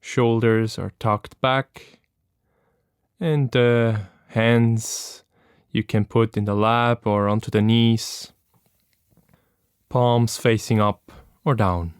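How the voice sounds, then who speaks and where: A man with a smooth, clear voice guides a meditation at a very slow pace. His delivery is gentle and calm, leaving long pauses between instructions. His pitch is medium and the sound is close and dry. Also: dry recording.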